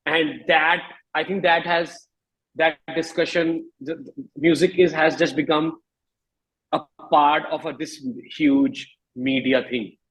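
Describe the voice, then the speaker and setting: A man with an Indian accent talking candidly as an interview guest, emphatic but halting, often repeating phrases, in a husky voice. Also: on the high side, steady tone, a bit bright, low-quality recording. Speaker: musician guest.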